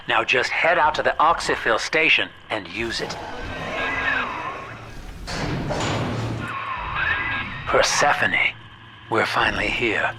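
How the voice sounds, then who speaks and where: Actor as wry schemer amid noisy ambience. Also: male voice, lowish voice, close to an everyday voice. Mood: wry, urgent. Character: Augustus Sinclair, slick older businessman, wry, world-weary schemer. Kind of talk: video game voice acting.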